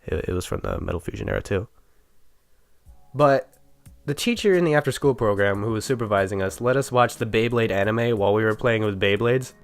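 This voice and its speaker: A man's voice: on the low side, fairly quick, soft background music. A young man with a nasal, stuffy voice from being sick, telling a joking, chatty story about his school days with small stumbles. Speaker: YouTuber. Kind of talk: casual storytime narration.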